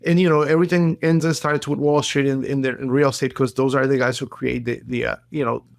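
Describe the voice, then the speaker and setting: A man's voice: mid-range voice, flat delivery, fast, creaky, no echo, studio quality. A middle-aged man with a Colombian accent, a native Spanish speaker, telling stories casually as an interview guest. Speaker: podcast guest, real estate entrepreneur. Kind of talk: casual anecdotal interview talk.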